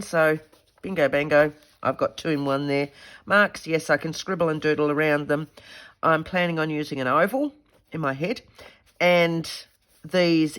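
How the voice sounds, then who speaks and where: A woman's voice: low-pitched, a bit bright. A woman with a stuffy head cold, chatting casually while she works through a craft project. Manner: chatty, self-talking while working. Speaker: craft video host. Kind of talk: casual craft tutorial chat.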